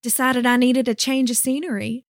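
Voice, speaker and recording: A man's voice: extremely high pitch, level tone, moderate pace, no echo, clean studio sound, pitched above the performer's own voice. A male narrator voicing a small young woman with a Southern drawl who talks bluntly and swears freely. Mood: casual. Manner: blunt, salty, boisterous.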